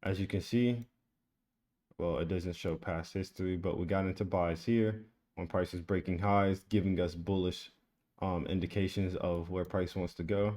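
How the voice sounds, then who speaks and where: A man with a low, mellow voice talks through a price chart in a relaxed, repetitive way. He keeps a flat, even tone as he points out levels and entries, like a casual screen-share lesson. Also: normal speed. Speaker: trading educator. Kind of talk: screen-share trading tutorial.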